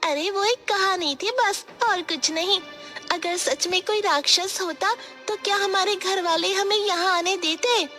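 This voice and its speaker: A woman's voice: very high voice, unhurried, pushed and bright, faint music behind, exaggerated voice. A woman voicing a bold, curious young village child in a cartoon, with a teasing, inquisitive manner.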